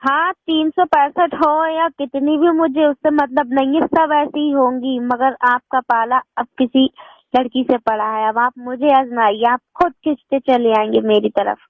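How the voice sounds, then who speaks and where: A high-pitched woman's voice, in an emotional conversation. She is insistent and defiant, with a pleading edge. It is a muffled, dry phone call recording. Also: fairly even intonation, measured pace, dry recording. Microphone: telephone.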